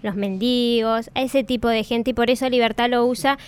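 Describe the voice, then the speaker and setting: A woman's voice: a little high, steady tone, moderate pace, smooth. A woman, giving informative explanations of facts and words as a podcast co-host.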